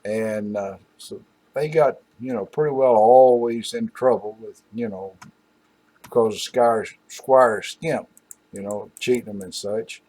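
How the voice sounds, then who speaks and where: An older man hosting an old-time radio show, reminiscing casually in a folksy, halting, rambling way with asides. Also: lowish voice, darkish tone, husky, poor audio.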